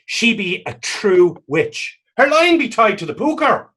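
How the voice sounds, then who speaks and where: A man's voice: sits high, sing-song, measured pace, a bit bright, slightly rough, exaggerated voice. An actor playing a defiant, superstitious young man, goading and indignant in folksy dialect in a stage reading. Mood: ominous. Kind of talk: stage readers theater acting.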